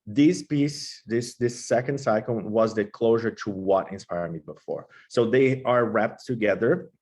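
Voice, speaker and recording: A man from Brazil with a Brazilian accent and Portuguese as his native language, talking informally as a composer about his work, haltingly searching for words. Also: lowish voice, a little mellow.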